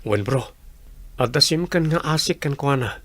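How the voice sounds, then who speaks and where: Earnest grown man, mid-pitched, kindly, northern Philippine accent, radio drama. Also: expressive, moderate pace, fairly bright tone. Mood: sheepish. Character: earnest, kind-hearted grown man, secret benefactor.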